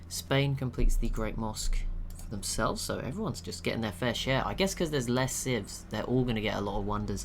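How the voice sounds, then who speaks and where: A young man giving relaxed, chatty commentary over a strategy game he is watching, laughing to himself now and then. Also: medium pitch, slight background noise. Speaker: gaming channel host.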